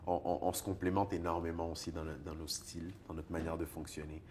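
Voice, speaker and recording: A deep baritone man from Togo, guest in an interview, talking in an animated way about his music and laughing often. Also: fast, a little hiss or hum. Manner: animated, laughs often, repeats words when searching. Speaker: singer-songwriter and poet guest. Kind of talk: conversational interview.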